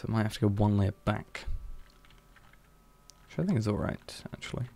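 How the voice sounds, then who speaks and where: Gravelly man musing as he builds. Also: fairly low pitch, normal speed. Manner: musing, hesitant, planning out loud. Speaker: streamer. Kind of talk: thinking aloud while building in a game.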